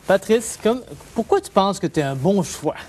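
A middle-aged man with a Quebec French accent, co-hosting a comedic mock interview on a TV studio set with jumpy, animated questions. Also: sits high, normal speed, a little hiss or hum. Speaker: comic co-host of a mock interview show.

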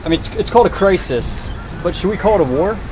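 A man conducting a street interview outdoors, speaking earnestly and deliberately and repeating his points. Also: sits high, expressive, over a noisy crowd. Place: outdoors in front of row houses. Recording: noisy outdoor street, passing vehicles.

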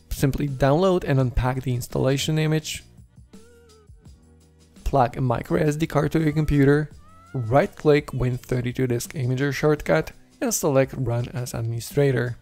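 A man's voice: mid-range voice, gravelly, darkish tone. A man hosting a tech video, reading a scripted how-to voice-over. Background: background music.

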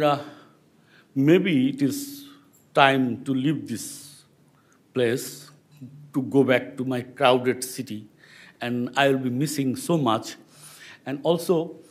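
Man, 60s, slow, halting, somewhat high voice, lectern mic, library room. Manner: slow, halting, with repeated restarts. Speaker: visiting writer on a panel. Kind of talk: reflective personal speech. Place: public library meeting room. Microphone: lectern microphone.

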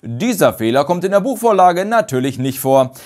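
Host in his thirties with a lively, up-and-down voice, a touch higher than most men. He speaks into a lapel mic in an indoor room. He tells his amused stories with energy. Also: normal speed, a little hiss or hum. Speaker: video host. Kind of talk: commentary on radio-play bloopers. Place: indoor studio room with shelves and a clock.

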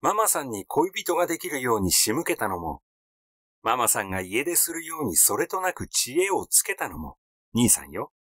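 A man's voice: medium pitch, big pitch swings, moderate pace, fairly bright tone, no room sound, studio quality. A man voicing a cool, accusing young sister in a story reading, with pointed, feminine phrasing.

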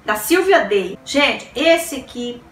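A woman's voice: a little high, sing-song, slow, a bit bright. A woman in her forties chatting to camera about books in a small room, gushing and repeating words as she exclaims. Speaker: book vlogger. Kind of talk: casual chat to camera. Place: small decorated room indoors. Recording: roomy room sound, a bit distant, faint hiss.

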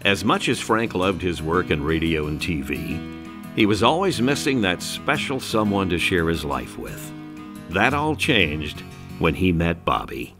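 A man's voice: low voice, sing-song, unhurried. An American man reading scripted documentary narration. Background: guitar music.

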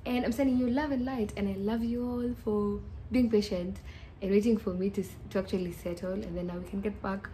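A young woman in her twenties vlogs to a phone held at arm's length inside a small, bare apartment. Her voice is mellow and soft-edged, and faint background hiss runs under it. She talks in a chatty, upbeat way, circling back and restarting as she thinks. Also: medium pitch, fairly even intonation, a little fast. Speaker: vlogger. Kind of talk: casual vlog to camera. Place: small empty apartment room indoors.

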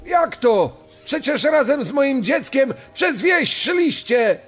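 An actor playing a distraught village father in a true-crime dramatization, shouting urgently. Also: high voice, sing-song, takes it slowly, faint music behind, heavily acted. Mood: alarmed, demanding. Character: distraught village father searching for his daughter.

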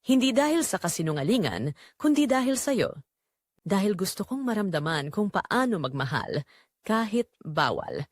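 A woman's voice: mid-range voice, very animated, normal speed, no echo, clean studio sound. A woman narrating a scripted first-person romantic drama in an emotive, confessional manner. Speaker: storyteller narrating in character.

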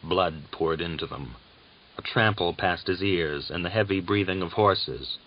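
A man narrating a novel as an audiobook, with a measured, deliberate and dramatic literary delivery. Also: low voice, a little hiss or hum. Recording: dull, lo-fi audiobook recording.

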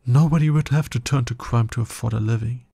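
ASMR roleplay villain, played by a man: male, smug and teasing, breathy low-key delivery, very dry close sound. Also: dark tone, no room sound. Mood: tempting, soft. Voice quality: husky, breathy. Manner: slow, condescending, teasing. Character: smug, seductive, dominant male villain courting his hero nemesis.

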